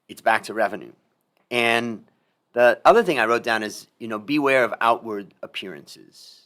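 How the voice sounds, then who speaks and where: A middle-aged man with a fairly low voice hosts a podcast conversation. He talks in a reflective, emphatic way and comes back to his main points more than once. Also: a bit bright. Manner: reflective, emphatic, repeats key phrases. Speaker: podcast host. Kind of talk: casual interview talk.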